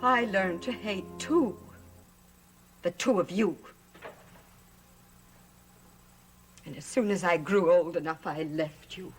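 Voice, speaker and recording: Witches' daughter actress: wounded but defiant, slow dramatic delivery, gothic soap with music underneath. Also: lowish voice, close to an everyday voice. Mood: bitter.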